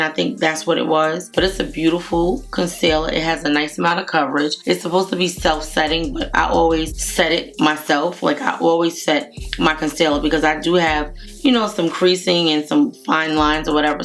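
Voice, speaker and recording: A woman with a deep, low voice that sounds hoarse, talking in a loose, rambling way straight to the camera. She is in her thirties and reviews products in a home room, with soft music playing underneath. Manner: chatty, rambling, warm greetings to viewers. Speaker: beauty vlogger. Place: home room with makeup shelves, indoors. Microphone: camera at desk distance, framed head and shoulders.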